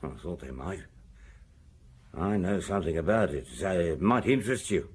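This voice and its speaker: An actor in a radio drama playing a wily, ingratiating small-time drug pusher with slangy, hesitant speech. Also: male voice, low-pitched, animated, normal speed, a little mellow, husky. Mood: sly.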